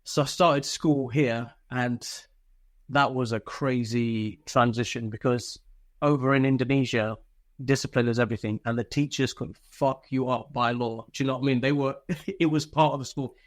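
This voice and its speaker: A man's voice: mid-range voice, fairly even intonation, moderate pace. Chatty man telling his story. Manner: chatty, informal, swears freely, chuckles often. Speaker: interview guest, former soldier. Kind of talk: casual personal storytelling.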